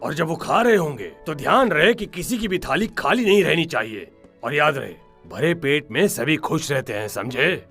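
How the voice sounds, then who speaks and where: Cartoon pirate cook: high-set gravelly rasp, bossy, menacing, very animated delivery. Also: male voice, moderate pace, soft background music. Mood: stern. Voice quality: gravelly, raspy. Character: gruff, threatening ship's cook, adult male pirate. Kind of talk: Hindi cartoon dub acting.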